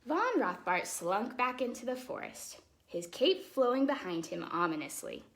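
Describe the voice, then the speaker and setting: Woman, children's storytime host, medium pitch, expressive storybook narration, casual explanatory asides. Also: vocal fry. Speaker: storytime host and ballet dancer.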